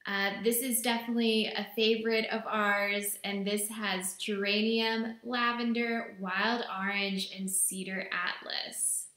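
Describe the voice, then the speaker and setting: A woman in her thirties talks to a camera from a small bare room, her tone bright and clear. She speaks slowly and gently, with easy enthusiasm. The room gives her voice a noticeable echo. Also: mid-range voice, level tone. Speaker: brand representative presenting products. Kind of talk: product presentation to camera. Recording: echoey room.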